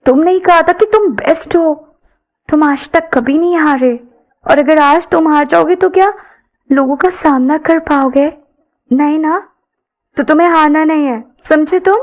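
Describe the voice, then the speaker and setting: A woman's voice: high-pitched, a little slow, muffled recording, pitched above the performer's own voice. A woman voicing an anxious young woman who pleads urgently and insistently in an audio story. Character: anxious young woman passenger urging on a racing driver. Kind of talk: dramatised audio story dialogue.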